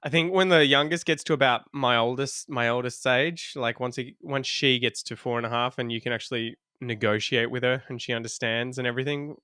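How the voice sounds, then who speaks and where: Man, thirties, bright, smooth voice, dry close sound, laid-back chat. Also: mid-range voice, a little fast, few pauses, no echo. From Australia. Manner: laughs often, relaxed storytelling. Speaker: podcast guest, English teacher. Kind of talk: casual chat.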